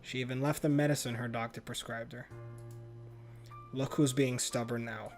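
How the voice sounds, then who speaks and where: A man's voice: mid-range voice, steady tone, moderate pace, soft background music. A man reading visual novel narration aloud as a let's player, occasionally stumbling and rereading words.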